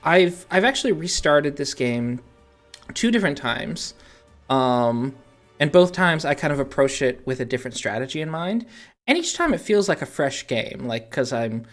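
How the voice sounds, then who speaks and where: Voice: mid-range voice, sing-song, moderate pace, faint music behind. A podcast host talking casually and conversationally about video games.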